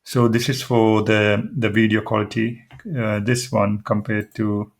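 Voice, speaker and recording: A man presents an informal tech tutorial in a fairly low voice. His delivery is flat, with a noticeable fry in it. He explains each step haltingly, often hesitating as he goes. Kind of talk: informal screen-demo tutorial.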